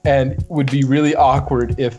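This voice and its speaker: A man chatting casually as a podcast guest, talking in a rambling, halting way and often repeating words. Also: mid-range voice, level tone, a little mellow, lo-fi sound. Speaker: guest voice actor on a fan podcast. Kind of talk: casual group chat. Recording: music playing under the voice.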